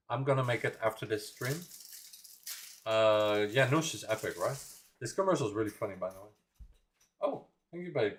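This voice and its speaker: A young man from the Netherlands talks loosely on a livestream, wandering from thought to thought with frequent laughs. His voice sits at an ordinary middle pitch. He chats easily, as if to viewers he knows. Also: slight background noise. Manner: rambling, chatty, laughs often, reads chat aloud. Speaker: livestream reaction host. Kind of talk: casual livestream chat.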